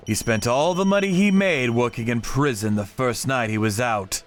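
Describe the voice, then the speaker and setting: A man reading scripted dramatic narration in a grave, measured way. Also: medium pitch. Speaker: narrator of a manga story, telling it in first person as a vigilante character. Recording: music behind the voice.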